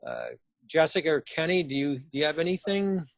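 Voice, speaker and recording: Higher-voiced man interviewing, dry close sound. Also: a little fast, vocal fry, dry recording, dull audio. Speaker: interviewer, radio theatre company director. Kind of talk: casual, hesitant interview chat.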